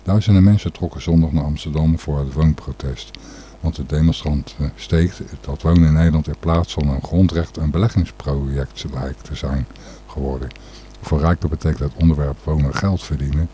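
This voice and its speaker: A man hosting a radio show, talking off the cuff about the news in a halting, opinionated way. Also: a bass voice, dark tone, slightly rough. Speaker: radio host. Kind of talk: unscripted radio talk on current news.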